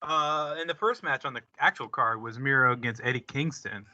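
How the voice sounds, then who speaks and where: A man's voice: medium pitch, moderate pace, sharp tone, no room sound. A man hosting a podcast in casual chat, easygoing and agreeable. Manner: easygoing, agreeable, short backchannels. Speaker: podcast host. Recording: dull lo-fi podcast audio, dry.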